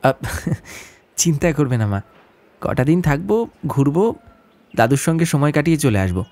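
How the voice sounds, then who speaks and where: A man voicing an earnest young son in an audio story, speaking in a casual, gentle, reassuring way. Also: a little high, lively intonation, normal speed. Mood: gently reassuring.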